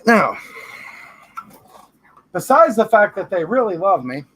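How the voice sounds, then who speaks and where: High-voiced sarcastic man with a Michigan accent. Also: very animated, slow pace, fairly bright tone, breathy edge, poor audio. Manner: sarcastic, chatty, keeps calling viewers "guys"; holds items up to the camera while talking. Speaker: firearms channel host. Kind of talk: casual talk to camera.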